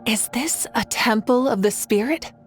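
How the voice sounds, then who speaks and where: A woman voicing a composed, gracious leader in a game, speaking calmly and with measure. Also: a little high, unhurried, faint music behind, lightly acted. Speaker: game character. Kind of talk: video game voice acting.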